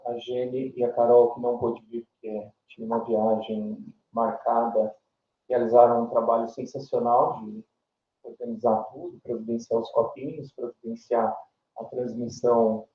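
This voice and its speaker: Male workshop moderator, slow and halting, heard from a distance in a small echoey amphitheater on a dull livestream. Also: medium pitch. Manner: halting, slow introductions with many fillers. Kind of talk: opening remarks at an academic workshop. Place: small amphitheater on a university campus.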